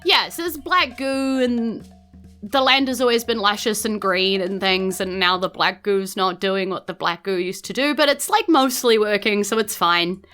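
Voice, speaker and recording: Bright-voiced New Zealand woman running a game. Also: medium pitch, moderate pace, smooth, soft background music. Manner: informal, conversational, hedging with "like" and "you know". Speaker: game master. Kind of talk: improvised narration of a tabletop game.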